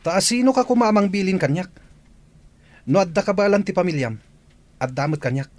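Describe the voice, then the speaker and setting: A man's voice: sits high, expressive, moderate pace, a little mellow. A man voicing a confident, defiant young male student who stands up to a rival. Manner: challenging, defiant. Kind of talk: Ilocano radio-drama acting.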